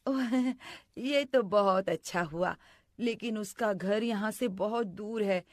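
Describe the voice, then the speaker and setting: Woman voicing a scheming, unhurried cartoon stepmother. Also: medium pitch, darkish tone, no room sound, clean studio sound, close to an everyday voice. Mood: falsely sweet. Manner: scolding, plotting, falsely sweet. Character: scheming cruel stepmother who feigns sweetness. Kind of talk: animated story character acting.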